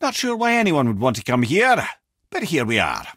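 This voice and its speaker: A clever, wry old Scottish storyteller for a video game. His voice has a husky grain and swings widely in pitch as he spins long, wordy tales and dry advice. The sound is dry and close, with no room around it. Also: huge pitch swings, normal speed, fairly bright tone, no echo, heavily acted. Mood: wry advising. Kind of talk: video game character dialogue.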